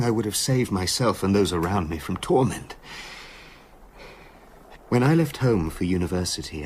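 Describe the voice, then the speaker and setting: An actor playing a tormented, self-justifying doctor in a radio drama, speaking formally and intensely. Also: male voice, low-pitched, a little hiss or hum.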